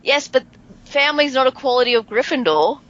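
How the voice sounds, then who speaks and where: A woman's voice: high voice, lively intonation, fairly bright tone. A woman co-hosting a podcast, chatting casually and arguing playfully, with a faint Southern twang. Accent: hints of Southern American. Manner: playful, argumentative, drawn-out emphasis. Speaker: podcast co-host. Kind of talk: casual chat. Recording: dull lo-fi podcast audio.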